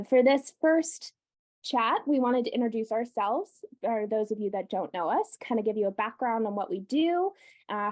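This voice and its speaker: Upbeat higher-voiced American woman co-host. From United States. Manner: upbeat, laughs often, fillers. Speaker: podcast co-host. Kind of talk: casual chat.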